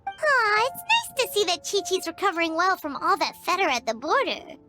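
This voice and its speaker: A tiny floating fairy companion chirps in a squeaky, excited voice. Her pitch sits extremely high and swoops wildly up and down. Bright music plays clearly behind her. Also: moderate pace. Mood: chirpy. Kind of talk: video game voice acting.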